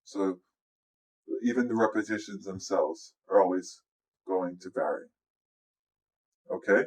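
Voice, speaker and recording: A man talking informally through a music software tutorial as a producer, explaining things step by step over a screen recording. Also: low voice, measured pace, low-quality recording. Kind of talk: casual software walkthrough.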